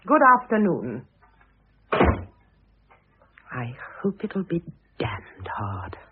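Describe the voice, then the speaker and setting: An actress playing a sharp-tongued, dignified older former wife in a classic radio drama, cutting and disdainful. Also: female voice, rumbling low, very animated, drawn-out words, slight background noise, heavily acted. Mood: bitter anger. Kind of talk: classic stage-style radio drama acting. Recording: muffled narrow-band old radio recording.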